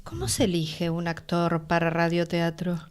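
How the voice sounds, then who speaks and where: A woman's voice: fairly low pitch, fairly even intonation, normal speed, smooth. A woman interviewing in a casual, chatty way. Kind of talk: casual radio interview chat.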